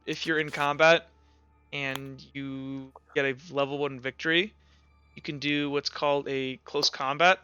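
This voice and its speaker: A man narrating a tabletop roleplaying game as game master, explaining things in a casual, halting, rambling way. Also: medium pitch, little pitch movement, sharp tone, smooth, no echo.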